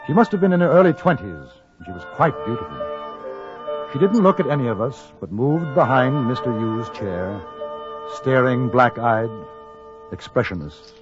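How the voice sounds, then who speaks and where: A man playing a polite, reserved, cool-headed gentleman in a 1950s radio western, speaking precisely. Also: medium pitch, sing-song, moderate pace, music in the background, close to an everyday voice. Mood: measured narration. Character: courteous, cool-headed gentleman adventurer in the Old West. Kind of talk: 1950s radio drama acting and narration. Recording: dull old 1950s radio recording.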